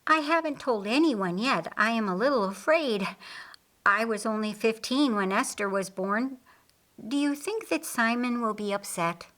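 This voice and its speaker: A woman's voice: on the high side, lively intonation, a little slow, fairly bright tone. A woman voicing a modest, apologetic servant mother in her thirties, deferential and hesitant. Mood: anxious.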